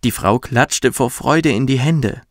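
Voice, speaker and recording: A man narrating a children's bedtime story in a soft, soothing, measured way. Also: mid-range voice, dry recording, clean studio sound. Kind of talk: gentle scripted storytelling for children.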